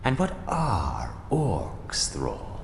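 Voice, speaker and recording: A man playing a haughty, cruel human lord and slave master in an animated fantasy game, pompous, condescending and menacing in a theatrical way. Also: low-pitched, extremely slow, gravelly, a little hiss or hum, full character voice. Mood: condescending, smug. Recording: echoey hall reverb under music.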